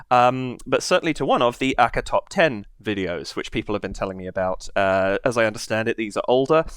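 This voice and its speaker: A man's voice: lowish voice, a bit bright, clean tone, dry recording. A man hosting his reaction channel from a basement studio, chatting casually with a head cold and rambling through many fillers. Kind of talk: casual to-camera chat.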